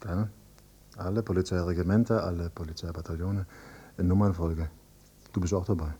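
Low, dark-voiced, insistent son. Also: male voice, steady tone, brisk, husky. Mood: cool, deliberate. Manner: insistent, probing, controlled. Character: persistent, accusing grown son interrogating his father. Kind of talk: German radio drama acting.

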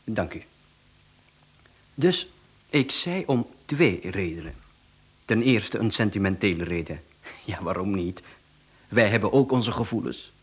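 A man's voice: fairly low pitch, lively intonation, unhurried, long pauses, slightly rough. A man with a Flemish accent playing a smug, chatty professional hitman in a radio drama, speaking with mocking politeness and self-assurance. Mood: condescending, affable.

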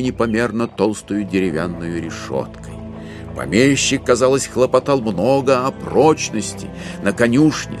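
A man narrating a literary classic for an audio drama in an expressive storytelling manner. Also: fairly low pitch. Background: faint music.

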